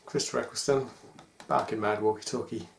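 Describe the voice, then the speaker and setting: Low-voiced man in an echoey room. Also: fairly even intonation, brisk, vocal fry. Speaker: toy collector presenting a video. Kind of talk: casual chat to camera about collectible figures. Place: small domestic room indoors. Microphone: camera microphone at about arm's length. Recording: echoey room with faint noise.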